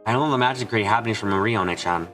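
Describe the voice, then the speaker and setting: A man giving an earnest amateur dramatic reading of a visual novel, halting for dramatic pauses. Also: fairly low pitch, fairly bright tone. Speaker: amateur fan dubber. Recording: music behind the voice. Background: background music.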